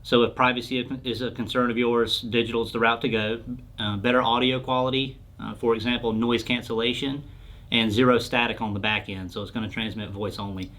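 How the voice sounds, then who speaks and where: A man's voice: medium pitch, little pitch movement, fairly quick, fairly bright tone. A man answering questions as a sales expert in an informal explainer interview, speaking in a plain, explanatory way.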